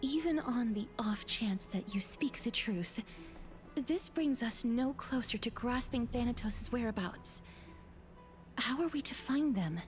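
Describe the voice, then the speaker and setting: Earnest, higher-voiced young heroine. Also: female voice, lively intonation, a little slow. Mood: concerned. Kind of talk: video game voice acting. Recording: faint game music behind the voice.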